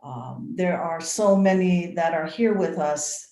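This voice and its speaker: An older woman from Hawaii speaking on a video call. She gives a soft, prayerful blessing with long pauses and repeated phrases. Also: on the low side. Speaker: invited speaker. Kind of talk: slow, prayerful spoken blessing. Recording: echoey room on a video call.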